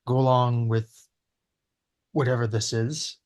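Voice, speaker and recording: A man's voice: medium pitch, level tone, unhurried, a little mellow. A man reading a pushy, friendly in-law's lines at a casual table read. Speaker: cast member at a table read.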